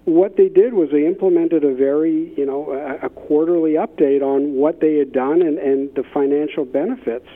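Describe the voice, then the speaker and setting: A man's voice: fairly high pitch, moderate pace, few pauses. A man speaking as an expert guest in an interview, telling anecdotes conversationally. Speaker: expert guest, business innovation consultant. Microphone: phone line. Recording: thin phone-line sound.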